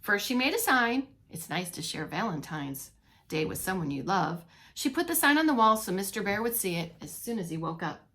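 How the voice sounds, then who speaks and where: A woman reading a storybook aloud to young children as a teacher, expressively, pausing to comment and ask questions. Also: medium pitch, big pitch swings, sharp tone. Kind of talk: animated read-along storytelling for young children.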